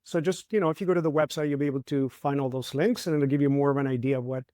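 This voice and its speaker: A man's voice: mid-range voice, fairly even intonation, racing, warm tone, no room sound, clean studio sound. A middle-aged man from Chile, a martial artist and trainer, explaining ideas in a reflective way and often asking "right?". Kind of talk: conversational interview.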